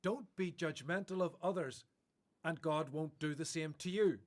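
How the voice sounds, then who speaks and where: Male actor, calm preacher figure, radio play, slightly high pitch, measured, authoritative. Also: close to an everyday voice. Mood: calm, assured. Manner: measured, assured. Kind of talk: radio play acting, sermon delivery.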